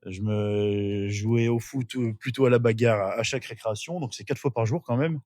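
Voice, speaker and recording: A man's voice: medium pitch, moderate pace, a little mellow, dry recording, studio quality. A middle-aged man from northern France, a podcast guest telling his life story casually, with many fillers and restarts. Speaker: interview guest.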